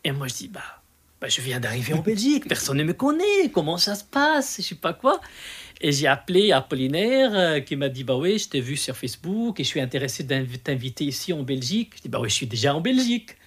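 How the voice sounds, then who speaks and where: Higher-voiced Lebanese man telling his experiences. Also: very animated, a little fast, a bit bright, husky. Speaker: storyteller, interview guest. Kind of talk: conversational storytelling in an interview.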